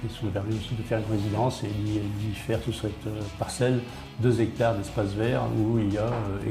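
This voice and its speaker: Man, low pitch, fry in the voice, matter-of-fact explainer, repeats and rephrases, echoey room. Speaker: small-town mayor being interviewed. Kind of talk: explanatory interview answers. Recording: roomy, echoey sound under guitar music.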